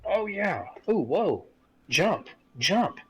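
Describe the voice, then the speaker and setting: A man's voice: high-pitched, very animated, extremely slow, fairly bright tone, slightly rough. A man talking casually as a sim racing teammate over voice chat during a game.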